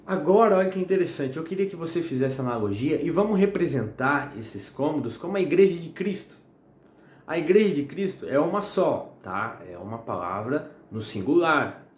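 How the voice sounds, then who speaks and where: A young man from the São gives a casual sermon recorded at home at night. He is slightly hoarse and talks in a chatty, friendly way, often asking "tá?". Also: on the high side, animated, moderate pace, darkish tone. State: slightly hoarse and sweating in the heat. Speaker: preacher. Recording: echoey room, faint noise.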